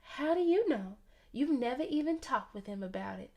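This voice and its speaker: Sweet high-voiced young nanny played by a woman. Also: animated, moderate pace, mellow. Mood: gently probing.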